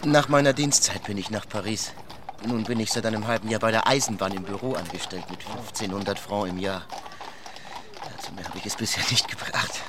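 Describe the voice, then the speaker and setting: A man's voice: lowish voice, brisk, noisy background, lightly acted. An actor playing a poor, eager young ex-hussar clerk in a German radio play, hesitant and emphatic in his delivery. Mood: downcast, hesitant.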